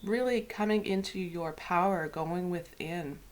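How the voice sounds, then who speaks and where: A woman with a head cold and a slightly raspy throat, hosting and talking through a weekly tarot card reading in a soft, unhurried way. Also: lowish voice. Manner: soft, unhurried, with whispered invocations. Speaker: tarot reader.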